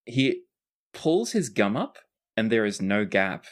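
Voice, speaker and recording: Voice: mid-range voice, sing-song, takes it slowly. A tabletop role-play player narrating a character's actions in a casual, improvised way.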